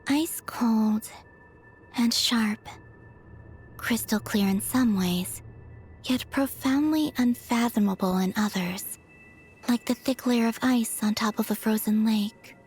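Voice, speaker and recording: Gentle, high-voiced moon goddess. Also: female voice, takes it slowly, faint music behind. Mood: pensive. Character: gentle, wistful young moon goddess, grateful and devoted. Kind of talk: game cutscene voice acting.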